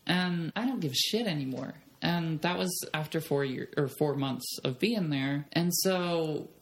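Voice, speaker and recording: A woman's voice: sits low, a little slow. A young woman telling frank stories in a casual chat, blunt and profane. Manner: blunt, profane, animated storyteller. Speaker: podcast guest. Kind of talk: candid storytelling chat.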